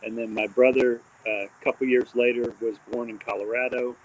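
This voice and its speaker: A man's voice: medium pitch, level tone, brisk, slight background noise, rough recording. Rambling man chatting casually on a livestream. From born in Texas, raised mostly in Indiana. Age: adult with a daughter. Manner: rambling, many fillers and restarts. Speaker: host of a live stream.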